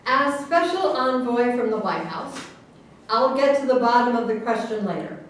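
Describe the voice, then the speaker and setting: A woman's voice: a little high, expressive, normal speed, lightly acted. A woman playing an authoritative general who mediates with formal exasperation in a staged reading of a satirical play. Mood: official. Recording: very echoey, noisy room, distant mic.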